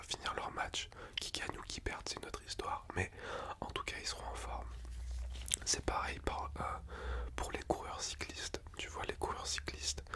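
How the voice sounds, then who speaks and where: A man whispering softly as a school nurse in an ASMR roleplay, gentle and reassuring, chatting at length in a relaxed, rambling way. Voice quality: soft unvoiced whisper.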